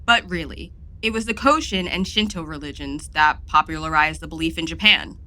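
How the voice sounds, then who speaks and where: A woman's voice: low-pitched, moderate pace, sharp tone. A woman voicing an aloof, sardonic wise monkey who lectures, in an audio drama. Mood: lecturing.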